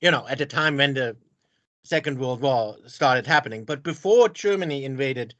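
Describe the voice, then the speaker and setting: A man chatting on a video call, halting and stammering with repeated words. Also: mid-range voice, fairly bright tone, no echo. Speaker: conversation partner. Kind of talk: casual video call chat.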